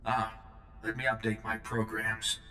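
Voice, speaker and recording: An actor playing an ancient, formal, literal-minded security droid in a video game, with robotic processing on the voice. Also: male voice, fairly low pitch, measured pace, reverberant, slight background noise. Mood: formal, flat. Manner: formal, procedural, flat delivery. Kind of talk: game character dialogue.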